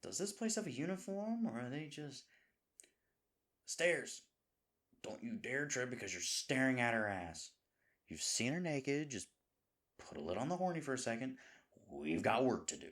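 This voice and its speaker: A man's voice: mid-range voice, very animated, moderate pace, long pauses, fairly bright tone. A man playing a devoted, goofy boyfriend nervous about proposing, rambling and chiding himself earnestly in a scripted romantic audio roleplay. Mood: eager, self-chiding.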